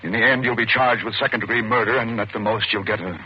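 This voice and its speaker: An actor playing an earnest prosecutor smitten with the accused, speaking insistently in an old-time radio suspense drama. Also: male voice, on the low side, fast, natural voice. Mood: urgent, coaxing. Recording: muffled, noisy vintage radio recording.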